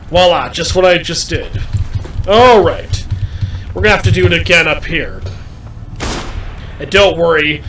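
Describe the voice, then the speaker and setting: A man giving a gameplay walkthrough, deliberately explaining things to viewers and often repeating himself. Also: fairly high pitch, bright, forceful tone. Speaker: gamer commentating a playthrough. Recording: roomy, distant mic, faint background noise.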